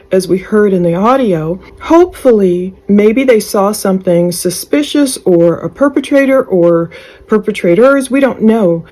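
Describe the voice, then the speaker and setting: A woman delivers true crime news commentary in a mellow, relaxed voice. Her pitch sits in an ordinary middle range, and she talks through updates conversationally, speculating as she goes. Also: poor audio.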